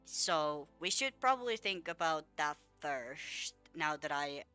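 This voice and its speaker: Bright-voiced young woman, a bit sick. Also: deep voice, expressive, slow, clear, resonant tone, faint music behind. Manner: chatty and rambling, lots of fillers, swears casually. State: a little sick. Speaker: gaming video creator. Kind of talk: casual gameplay commentary.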